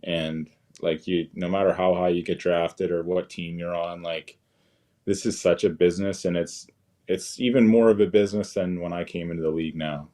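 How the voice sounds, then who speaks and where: A man, a professional hockey player, answering interview questions in a relaxed, modest way. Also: deep voice, moderate pace. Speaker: guest, pro hockey player.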